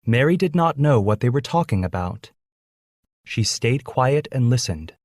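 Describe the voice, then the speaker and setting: A man's voice: mid-range voice, big pitch swings, a little slow, a little mellow, dry recording, studio quality. A man reading a scripted children's story as its narrator.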